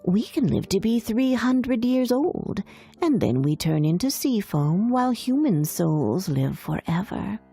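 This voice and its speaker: Woman as a creaky old mermaid grandmother. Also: mid-range voice, sing-song, unhurried, dark tone, soft background music, full character voice. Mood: explaining, discouraging. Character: old mermaid grandmother, wise, matter-of-fact, discouraging.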